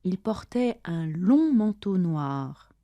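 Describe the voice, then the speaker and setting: Slow, mellow-voiced woman reading a lesson dialogue. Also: fairly low pitch, sing-song, no room sound, highs cut off. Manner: careful, deliberate delivery for learners. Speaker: language-lesson dialogue reader. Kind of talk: slow scripted dialogue, each line read twice.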